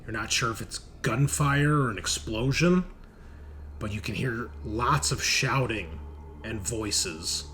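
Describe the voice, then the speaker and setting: A man narrating a tabletop game as game master, descriptive and improvised, pausing as he picks his words. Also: mid-range voice, pushed and bright. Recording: clear background noise.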